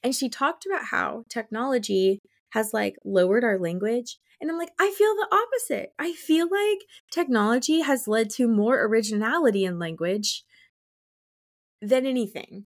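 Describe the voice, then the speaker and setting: A woman's voice: a little high, animated, moderate pace. A woman in casual book discussion as a co-host, talking informally with exclamations and plenty of "like".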